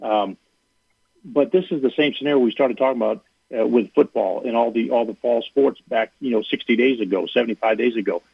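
A gravelly, husky man comes through a tinny phone line. He speaks as a university athletic director in an earnest way, often restarting his sentences. The sound is dry, with no room around it. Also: mid-range voice, fairly quick, dry recording. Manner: earnest, repeats words when starting sentences. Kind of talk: radio call-in interview.

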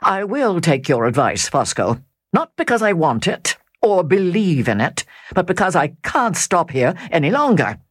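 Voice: medium pitch, big pitch swings, moderate pace, no room sound, clean studio sound, pitched above the performer's own voice. A reader doing a sulky, hot-tempered baronet. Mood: grudging. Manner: irritable, blustering.

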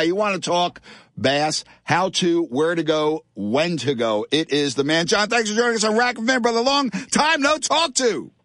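A man with a somewhat high, nasal voice, hosting a fishing show on talk radio. He talks in an excitable, rambling way. The studio sound is dry and close. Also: no echo.

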